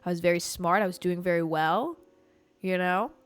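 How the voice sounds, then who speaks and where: A woman's voice: lowish voice, normal speed, smooth. A woman in her twenties hosting a podcast, telling a casual story in a candid, loose, profane way with lots of "like" fillers. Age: 20s. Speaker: podcast host.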